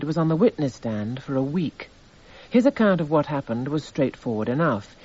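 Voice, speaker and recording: Detached narrator, mellow, higher-pitched. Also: male voice. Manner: measured, precise, matter-of-fact. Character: detached, methodical male narrator reading evidence. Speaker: narrator in a radio drama. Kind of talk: scripted narration. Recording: slightly dull radio recording.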